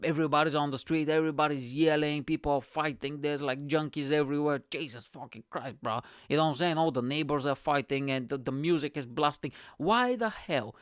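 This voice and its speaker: A man's voice: fairly high pitch, a little fast, hardly any pauses, smooth, no echo, studio quality. A young man from Bulgaria, hosting his own show in a profane, rambling comedic monologue that keeps checking in with the listener. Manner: profane, rambling, keeps asking "you know what I'm saying". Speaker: podcast host.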